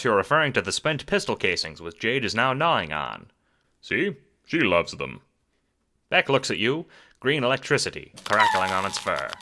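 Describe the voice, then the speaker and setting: A man's voice: on the low side, sharp tone. A man narrating a scripted second-person story in a theatrical, wry manner. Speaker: narrator of an audio drama.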